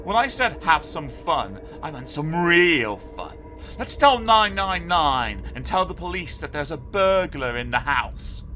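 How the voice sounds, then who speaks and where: Man doing a high squeaky schemer kid, spooky and bossy. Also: sing-song, slow, bright, forceful tone, faint music behind, exaggerated voice. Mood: scheming. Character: lonely, scheming boy who loves real mischief.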